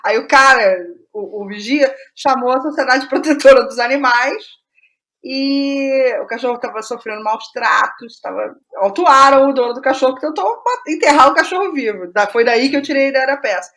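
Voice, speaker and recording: A woman's voice: high-pitched, moderate pace, a bit bright. A Brazilian woman being interviewed as a playwright, talking informally and reflectively about her creative process, often repeating phrases as she goes. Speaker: playwright guest.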